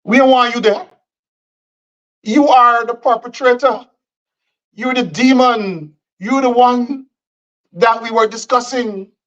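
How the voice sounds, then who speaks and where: A man's voice: very high-pitched, slow pace, long pauses, a bit bright, muffled recording. A man from Guyana, delivering combative political commentary and repeating phrases for emphasis.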